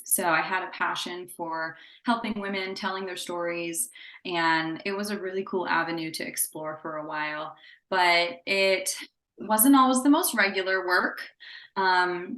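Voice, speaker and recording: A woman's voice: lowish voice, a bit bright, roomy sound. A woman in her thirties, a psychotherapist who grew up in Indonesia, talking in a chatty, upbeat way with fillers in a casual interview. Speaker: psychotherapist guest.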